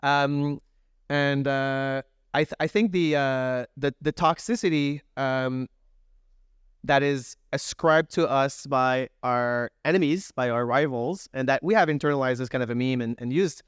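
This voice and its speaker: A man on a conference panel speaking in a halting way, with many restarts. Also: mid-range voice, clear, resonant tone, no room sound, studio quality. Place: conference stage.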